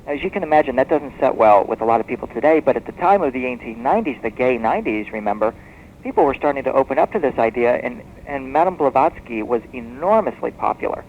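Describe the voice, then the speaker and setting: A man speaking as an expert guest in a radio interview, explaining history as a story in a measured, discursive way. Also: medium pitch, lively intonation. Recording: muffled noisy shortwave radio tape.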